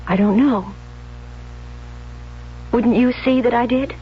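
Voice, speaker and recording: A woman's voice: on the high side, slow pace, breathy edge, exaggerated voice. An actress playing a jealous, unstable young woman in a 1970s radio drama, intense and pleading, on a dull vintage recording. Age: young adult. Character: jealous, obsessive, unstable young bride-to-be turned killer.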